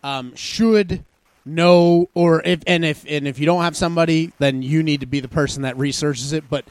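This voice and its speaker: A man's voice: fairly high pitch, steady tone, moderate pace, clean tone, no room sound. A man joining a casual movie chat, often searching for words and trailing off. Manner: searching, trailing off mid-thought.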